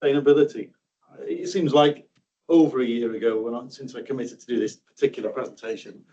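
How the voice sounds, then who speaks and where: Man, middle-aged, from South Yorkshire, conference talk, stumbling restarts, mid pitch, distant and muffled. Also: steady tone, fairly quick, dark tone. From South Yorkshire, England. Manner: hesitant, repeats words, self-deprecating. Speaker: railway project engineer, presenter. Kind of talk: conference presentation. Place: meeting room.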